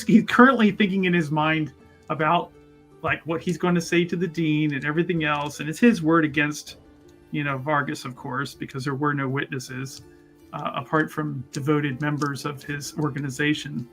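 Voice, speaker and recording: A man with a slightly high voice narrates his tabletop character's actions. He speaks slowly and hesitantly, doubling back on words and often chuckling, over background music. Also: bright, forceful tone. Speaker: tabletop roleplaying player. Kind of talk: casual improvised narration of own character's actions. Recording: music under voice.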